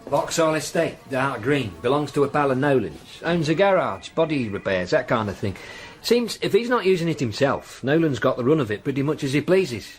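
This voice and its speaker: Bloke acting a chatty cop in radio drama, bouncy delivery, slight background noise. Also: male voice, medium pitch, big pitch swings, moderate pace, lightly acted. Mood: matter-of-fact. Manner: colloquial, clipped phrasing. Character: chatty, colloquial male police detective reporting leads.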